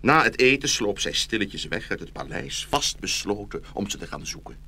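Male fairy-tale narrator: lowish, animated, forceful tone, scripted storytelling over faint noise. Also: moderate pace, creaky.

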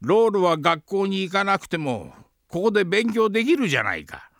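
A man playing a kindly, fatherly elderly scientist in an anime audio drama, with gentle old-fashioned phrasing. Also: sits high, very animated, normal speed, gravelly, dry recording, studio quality, full character voice. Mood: troubled.

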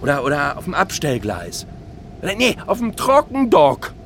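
High-voiced, aggrieved middle-aged man. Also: huge pitch swings, normal speed, fairly bright tone, slight background noise. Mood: aggrieved, sarcastic. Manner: agitated, stammering, self-pitying, rhetorical questioning. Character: aggrieved middle-aged man whining over lost privileges. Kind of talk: theatrical stage monologue.

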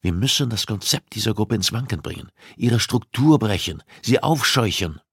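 A male narrator plays a cold male criminal profiler in an audiobook. He speaks with commanding, deliberate insistence at a raised pitch. The sound is dry and free of room. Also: expressive, gravelly, breathy edge, dry recording, full character voice. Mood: commanding, urgent. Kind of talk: audiobook dialogue acting.

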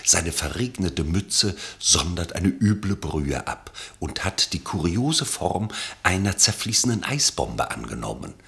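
A man narrating a literary radio play from a script in an expressive, wryly elevated manner. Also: low voice, measured pace, vocal fry, few pauses.

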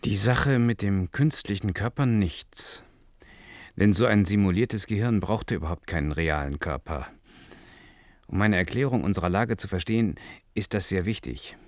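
Actor as a serious scientist guy, lowish voice, explains step by step, old sci-fi radio drama, dry sound. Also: dry recording, natural voice. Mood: patiently explaining. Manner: deliberate, probing, didactic. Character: earnest male researcher methodically unfolding a hypothesis. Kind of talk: German science fiction radio play acting.

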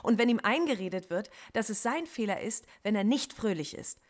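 A woman's voice: mid-range voice, lively intonation, brisk, natural voice. An actress playing a defiant female philosophy professor in a radio play, articulate and lecturing. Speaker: philosophy professor under interrogation.

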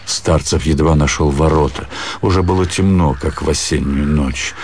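Deep-voiced man narrating a literary story. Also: normal speed, darkish tone, slight background noise. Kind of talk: literary narration in a radio play.

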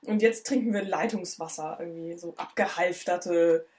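A woman co-hosting a podcast, a bit hoarse, chatting casually and rambling with many fillers and restarts. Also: lowish voice, moderate pace, a little mellow. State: slightly hoarse. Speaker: podcast co-host. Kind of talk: casual chat.

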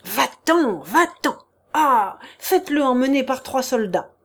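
A woman's voice: on the high side, expressive, a little slow. A woman reading the part of a stern, contemptuous prince in a classic novel, delivering cold, commanding accusations. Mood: angry. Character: stern, contemptuous male prince, a high official. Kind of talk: audiobook character reading.

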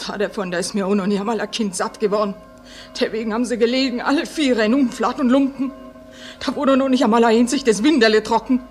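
Eine Schauspielerin spielt im Hörspiel eine verbitterte, trotzige junge Mutter aus armen Verhältnissen, scheltend und heftig, mit schlesischem Akzent. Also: female voice, a little high, quick pace, a little mellow, soft background music, lightly acted. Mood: bitter scolding. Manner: scolding, vehement. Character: bitter, defiant young working-class mother, scolding and fierce. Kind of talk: German radio play acting.

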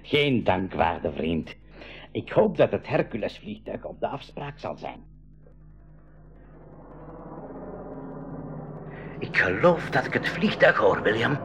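Casting: chummy, excitable professor for a radio play, mid-pitched voice with big swoops. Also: male voice, unhurried, gravelly, background noise, full character voice. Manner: colourful exclamations, jovial. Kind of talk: 1980s school radio drama acting.

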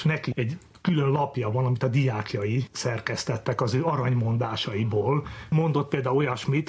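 Male academic interview guest, average pitch, rough husky tone, explanatory delivery. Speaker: mathematics professor, interview guest.

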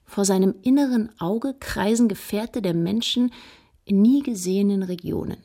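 A female historian played by an actress narrates in a radio drama with a mid-pitched voice. She is observant and precise, describing things dryly from a script. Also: normal speed, warm tone, lightly acted.

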